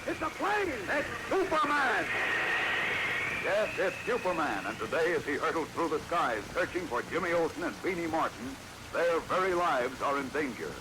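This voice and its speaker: Man, dramatic old-time radio announcer, high voice, heavy crackle and hiss. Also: sing-song, moderate pace. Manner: booming, dramatic. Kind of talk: 1940s radio serial opening. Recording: very noisy 1940s radio recording.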